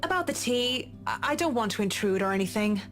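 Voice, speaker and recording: A woman's voice: fairly high pitch, expressive, a little fast, faint music behind, close to an everyday voice. An actress playing a blunt, sardonic, no-nonsense professional woman in a visual novel game. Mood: polite, clipped. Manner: blunt, matter-of-fact.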